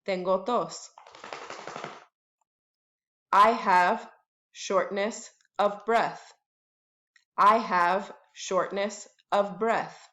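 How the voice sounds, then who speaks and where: A woman teacher at home giving a bilingual lesson, saying each English phrase slowly several times and then translating it into Spanish. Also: mid-range voice, steady tone, fairly bright tone. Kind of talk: bilingual classroom lesson, slow repeated drills.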